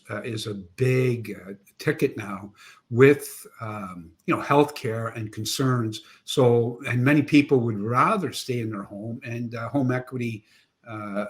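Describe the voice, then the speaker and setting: An older man in his sixties hosts the interview from his home office over a video call, his voice sitting at an ordinary middle pitch. He keeps things friendly and chatty, tossing in joking asides between questions. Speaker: show host. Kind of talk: casual interview hosting. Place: home office with framed certificates, indoors.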